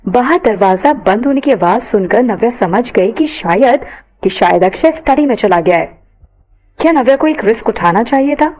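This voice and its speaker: A woman's voice: medium pitch, darkish tone, low-quality recording. A woman reading scripted narration for an audio story.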